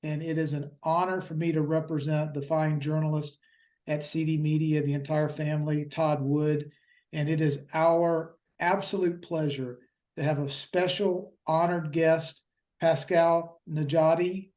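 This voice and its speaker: A man hosting an interview, formal and effusive, with halting fillers. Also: fairly high pitch, level tone, a little mellow. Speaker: interview host. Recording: roomy echo.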